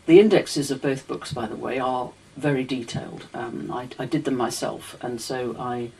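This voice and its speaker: A woman's voice: a bass voice, normal speed, dark tone, slight background noise, low-quality recording. A woman lecturer answering audience questions in a hesitant, restarting way, full of "you know" and "I mean". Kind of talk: casual Q&A answers.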